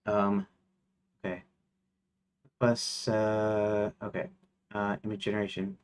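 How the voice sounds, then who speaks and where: A man chatting casually while streaming his game development, rambling with lots of fillers and restarts, sometimes reading lists aloud in a flat mutter. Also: fairly low pitch, slow pace.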